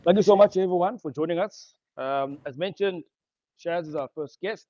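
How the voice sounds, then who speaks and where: A man hosting a live online music show, upbeat, giving shout-outs and introducing the next songs. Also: high voice, moderate pace, dry recording, lo-fi sound. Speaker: show host.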